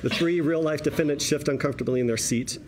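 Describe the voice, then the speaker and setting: A man's voice: on the high side, quick pace. Wry man narrating a screenplay. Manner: wry, conversational. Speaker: stage-direction reader at a table read. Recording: noisy room ambience.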